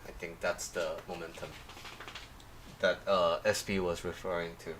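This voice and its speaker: A man with a somewhat low voice, a little off from a sore throat, chatting casually on a livestream. He rambles, pauses often and greets viewers by name. A faint hum sits behind him. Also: little pitch movement, a bit bright, rough recording. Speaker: life coach hosting a livestream.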